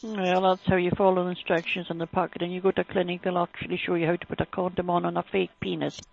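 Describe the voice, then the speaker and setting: A woman's voice: fairly low pitch, little pitch movement, fast, vocal fry, a little mellow. A woman working as a helpline adviser, explaining things in a matter-of-fact way over the phone. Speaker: sexual health helpline adviser. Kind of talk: phone advice conversation. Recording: muffled, tinny phone line.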